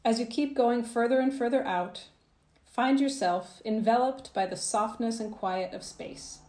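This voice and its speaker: A woman narrating a guided visualisation as a coach, in a soothing, gentle, measured manner with phrases that trail off softly. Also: a little high, roomy sound. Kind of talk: guided meditation, scripted narration.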